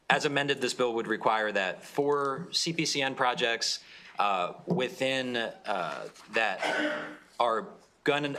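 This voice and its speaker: A young man, a legislator, explaining his bill to a committee in a halting way with many fillers. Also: lowish voice, pushed and bright. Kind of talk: testimony at a committee hearing.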